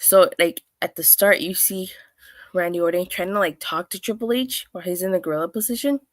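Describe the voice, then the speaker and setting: A woman with a hoarse, clogged voice from being under the weather, hosting a chatty solo review with lots of restarts. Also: on the low side, fairly quick, dry recording. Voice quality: clogged, stuffy voice. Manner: chatty, rambling, with many restarts and fillers. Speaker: wrestling show reviewer, host. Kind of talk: casual solo review talk.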